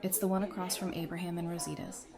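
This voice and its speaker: A woman's voice: lowish voice, fairly even intonation, quick pace, gravelly, over music. A woman playing a friendly, easygoing survivor in an audio drama, chatty, informal and reassuring. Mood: upbeat casual. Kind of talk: fan audio drama acting, casual dialogue.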